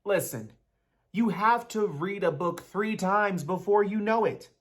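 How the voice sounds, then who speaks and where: A woman's voice: mid-range voice, a little slow, natural voice. A woman playing a brainy, awkward schoolboy in a dramatic reading, speaking formally and pedantically. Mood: formal, matter-of-fact. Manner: pedantic, precise, formal wording.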